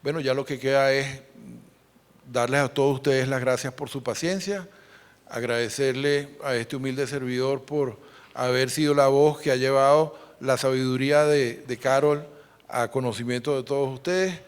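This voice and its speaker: A man presenting a formal, explanatory conference lecture as interpreter, with hesitant pauses. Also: medium pitch, clean tone. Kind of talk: conference lecture on numismatics, relayed for another speaker. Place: large conference hall. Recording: echoey hall with long reverb.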